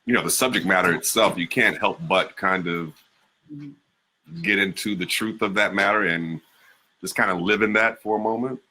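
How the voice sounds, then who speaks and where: Bright-voiced, low-pitched man, casual. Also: normal speed, rough recording. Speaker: actor, guest performer. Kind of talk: informal panel reflection.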